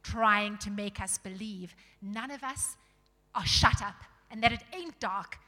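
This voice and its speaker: A woman voicing a surly, suspicious dwarf in a storybook reading, barking gruffly with blustering, colloquial grumbles. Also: mid-range voice, measured pace, pushed and bright, big-room sound. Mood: indignant.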